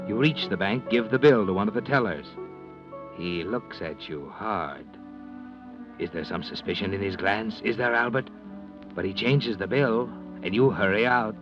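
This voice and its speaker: Music runs behind muffled vintage radio sound. a sardonic American mystery narrator in a low voice. He is deliberate and mocking, speaking as an ominous all-knowing storyteller. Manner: mocking, deliberate, addresses characters as "you". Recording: dull lo-fi vintage radio recording.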